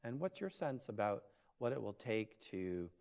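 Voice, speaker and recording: A man's voice: lowish voice, big pitch swings, normal speed, vocal fry, darkish tone. A man moderating a live conversation, posing organized questions in a friendly way. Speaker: moderator, professor.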